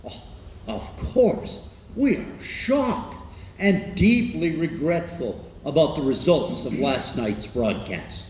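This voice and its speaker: Halting, apologetic high-voiced man. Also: expressive, darkish tone. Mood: hesitant, contrite. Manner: hesitant, halting, solemn. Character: shaken apologetic young radio dramatist at press conference. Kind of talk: radio docudrama acting. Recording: echoey hall, faint noise.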